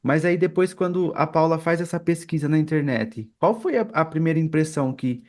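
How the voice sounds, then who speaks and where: A man's voice: on the high side, a little fast. A Brazilian man hosting a podcast, interviewing casually and often adding little tag questions. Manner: peppers talk with tag questions. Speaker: podcast host.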